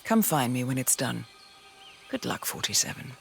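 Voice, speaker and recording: A woman acting a poised, cunning intelligence handler in a video game, speaking in a measured, deliberate and confident way. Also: extremely low pitch, sing-song, creaky, soft background music, heavily acted. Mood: assured, conspiratorial.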